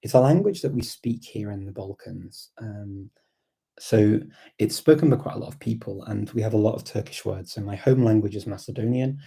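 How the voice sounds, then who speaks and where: A man's voice: on the low side, vocal fry, warm tone, husky. A man hosting a casual live chat, thinking aloud in a reflective, hesitant way and often repeating words as he goes. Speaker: livestream host and language learner.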